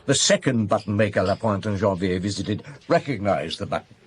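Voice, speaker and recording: An actor playing a gruff, commanding Paris police chief inspector in a radio drama, firing off brisk questions. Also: male voice, lowish voice, animated. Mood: measured, wry.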